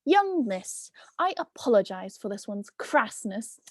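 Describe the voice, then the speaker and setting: A woman playing a pompous, eloquent talking goat with a sharp tongue and elaborate diction in a play reading. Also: on the high side, big pitch swings, unhurried, dry recording, studio quality. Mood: courtly, pompous. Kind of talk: amateur dramatic play reading.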